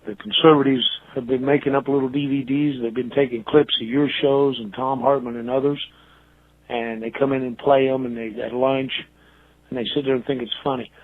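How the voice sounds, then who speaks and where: A tinny, narrow phone line carries an American man leaving a voicemail. He is a caller with a middle-pitched voice, giving informal criticism. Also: flat delivery, fairly quick. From United States.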